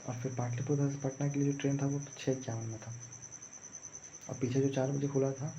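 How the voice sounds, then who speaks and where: A man's voice: medium pitch, flat delivery, fairly quick, mellow. A young man telling a story casually, in a rambling, repetitive way. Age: young adult. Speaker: vlogger recounting own exam day. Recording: muffled lo-fi audio, faint background noise.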